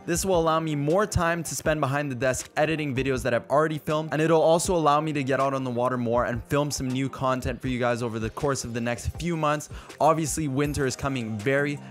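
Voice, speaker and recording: A man's voice: medium pitch, a bit bright. Earnest young man, close studio mic. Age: 20s. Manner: earnest and upbeat, addresses viewers as "you guys". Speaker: fishing channel host. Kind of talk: scripted update to viewers, speaking to camera. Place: small dark indoor studio room. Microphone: studio mic on a boom arm, close.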